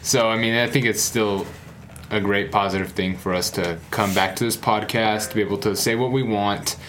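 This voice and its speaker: A man's voice: on the low side, fairly even intonation, moderate pace, fairly bright tone, slight background noise. A young man around twenty, co-hosting a podcast in casual, upbeat chat. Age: young adult. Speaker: podcast co-host.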